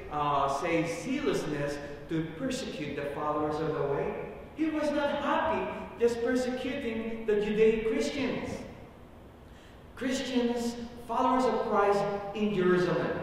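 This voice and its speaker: Middle aged guy preaching in a church, kinda high voice, halting, repeats himself, far from the mic w/ lots of echo. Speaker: pastor. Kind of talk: sermon. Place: large church sanctuary, at the pulpit. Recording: very echoey church hall, distant mic, faint noise.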